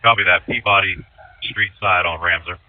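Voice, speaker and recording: A deep male voice comes over a dry, narrow radio channel. He talks like a fire officer checking in with command. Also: normal speed, a little hiss or hum. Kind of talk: emergency radio traffic. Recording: tinny, dry two-way radio transmission.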